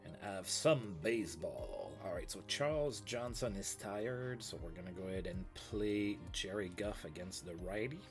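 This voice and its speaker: A man's voice: sits low, animated, moderate pace, few pauses, over music. A man with a stuffy, allergy-blocked voice, casually chatting and calling plays as host of a baseball management game stream. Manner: chatty, fillers, play-by-play calls. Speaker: let's play host. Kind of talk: casual baseball sim commentary. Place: home streaming setup.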